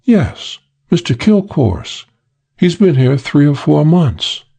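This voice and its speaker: Brassy, slangy young switchboard woman read by a man, voice pitched high, sassy. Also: big pitch swings, takes it slowly, gravelly, dark tone, slightly rough, heavily acted.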